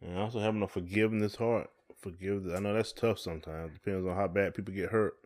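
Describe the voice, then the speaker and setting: A man's voice: low-pitched, steady tone, fast talker, a little mellow. A middle-aged man chatting casually and colloquially as a podcast co-host. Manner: colloquial, conversational. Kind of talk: casual chat.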